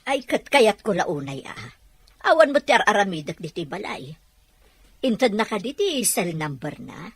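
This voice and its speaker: A welcoming older-sisterly woman voiced by an actress in an Ilocano radio drama. Her voice is husky and very expressive, recorded dry and close. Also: mid-range voice, normal speed, no echo. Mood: curious, warm.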